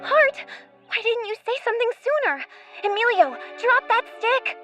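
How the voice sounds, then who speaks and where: A woman's voice: very high voice, very animated, normal speed, a bit bright, faint music behind, heavily acted. A woman playing an anxious but sensible young girl castaway in a radio play, urgent and bossy. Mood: exasperated.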